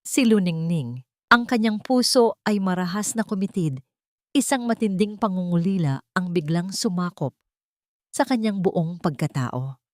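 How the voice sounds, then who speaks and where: A woman's voice: lowish voice, expressive, a little slow, no echo, studio quality. A woman narrating a scripted romance drama in a dramatic storytelling style.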